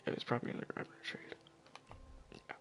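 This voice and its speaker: A man giving casual, profane solo commentary while slowly losing his voice, stumbling over names as he goes. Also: a bit bright, whispered. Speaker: hockey video commentator.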